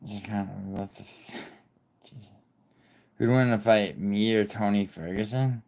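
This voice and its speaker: A man's voice: fairly low pitch, moderate pace, vocal fry, darkish tone. A young man hosting a casual livestream, rambling, swearing and reading viewer chat aloud. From born in Italy, raised in New York City. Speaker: livestream host.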